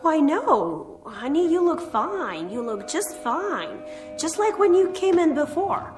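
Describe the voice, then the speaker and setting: A woman's voice: high-pitched, very animated, takes it slowly, music in the background, full character voice. An actress playing a kindly, folksy cleaning woman in a radio drama, plainspoken, motherly and reassuring. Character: kindly, folksy female cleaning lady at bus station.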